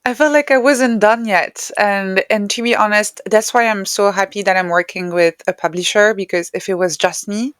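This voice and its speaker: A woman's voice: mid-range voice, moderate pace, hardly any pauses, fairly bright tone, no echo, studio quality. A woman speaking English as a second language, answering reflectively as a guest in an interview conversation. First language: not English. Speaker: podcast guest, writer and neuroscientist.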